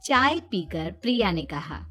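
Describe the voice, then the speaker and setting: Bright woman narrating a children's story. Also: medium pitch, very animated, measured pace.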